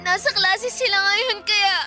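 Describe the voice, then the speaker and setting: A woman's voice: very high-pitched, unhurried, music in the background. An actress voicing a timid, frightened young girl in a radio drama, who answers politely and pleads. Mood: fearful. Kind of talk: classic radio drama acting.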